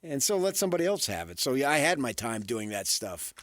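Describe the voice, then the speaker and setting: A man's voice: medium pitch, big pitch swings, brisk, a bit bright, no echo, studio quality. An American man co-hosting sports talk radio, speaking casually in rambling anecdotes and asides.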